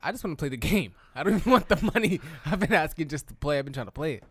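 A man's voice: a little high, racing, breathy edge, dry recording. A man co-hosting a gaming podcast, boasting and trash-talking his friends. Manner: boastful, animated trash talk. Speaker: podcast co-host. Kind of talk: casual gaming chat.

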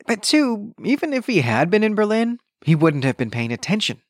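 A man hosting a podcast, giving an explanatory book commentary in a deliberate, emphatic way, often rephrasing himself for precision. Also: high-pitched, sing-song, no echo. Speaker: podcast host.

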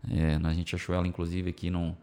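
A man from São Paulo state with a Brazilian Portuguese accent carrying a country twang, telling his story in a relaxed, casual interview. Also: deep voice, fast. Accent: Brazilian Portuguese with a country twang picked up from the Center-West. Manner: storytelling, relaxed, many fillers. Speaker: music publisher guest.

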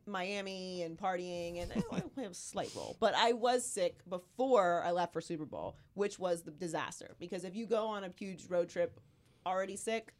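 A woman in her thirties hosting a casual sports podcast, her voice hoarse from illness, talking in an animated, emphatic way. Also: lowish voice, normal speed. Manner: animated, emphatic, riffs with rising exclamations. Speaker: podcast host.

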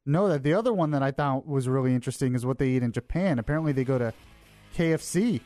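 Lively, laughing male radio host. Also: medium pitch, fast talker, no room sound, faint music behind. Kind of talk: casual morning radio chat. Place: radio studio. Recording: dry studio mic.

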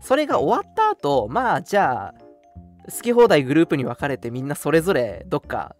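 A young man co-hosting a casual radio chat, telling stories in an animated way and acting out short quotes. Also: on the high side, fairly quick. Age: young adult. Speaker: radio show co-host. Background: background music.

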